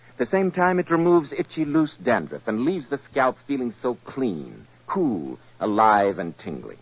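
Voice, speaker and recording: A man's voice: medium pitch, sing-song, moderate pace, husky. An American man announcing in polished, emphatic 1940s radio sales style. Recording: muffled 1940s radio recording.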